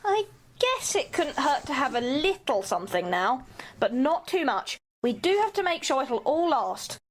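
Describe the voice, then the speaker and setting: Amateur radio drama: actress as cautious, placating female leader, bright high pitch with very lively intonation. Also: moderate pace. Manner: earnest, placating. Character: earnest peacekeeping female team leader, cautious and firm.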